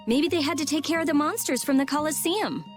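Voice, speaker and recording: A woman's voice: high-pitched, fairly quick, soft background music, close to an everyday voice. A woman playing a pert, practical young girl in a video game, offhand and businesslike. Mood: brisk. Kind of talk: video game cutscene voice acting.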